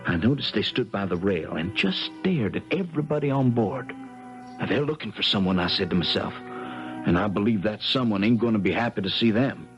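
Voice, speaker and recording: A man's voice: fairly low pitch, lively intonation, a little fast, faint music behind. A man playing a shrewd, folksy young lawyer, telling and acting his story in a 1970s radio drama. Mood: suspenseful storytelling. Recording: dull 1970s radio broadcast recording.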